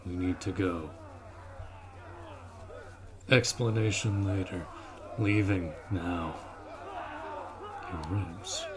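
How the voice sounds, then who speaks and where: A stern orc warrior acted by a man speaks in a very low voice at a slow, weighty pace. He is formal and commanding, an honorable protector from a fantasy audio drama. Some ambient noise sits behind him. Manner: formal, commanding, measured delivery. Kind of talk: scripted fantasy audio roleplay acting.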